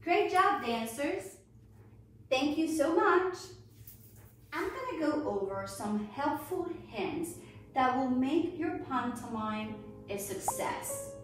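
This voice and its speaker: A woman giving an instructional dance lesson as a ballet teacher, with a measured, deliberate, encouraging delivery, recorded indoors on a distant camera microphone. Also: high-pitched, animated, a little hiss or hum. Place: indoors, hard-floored home studio room. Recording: echoey room, distant mic, music behind.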